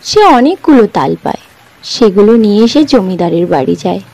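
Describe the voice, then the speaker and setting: Mellow woman storyteller. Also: medium pitch, animated, brisk, vocal fry, rough recording. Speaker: narrator. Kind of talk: scripted storybook narration.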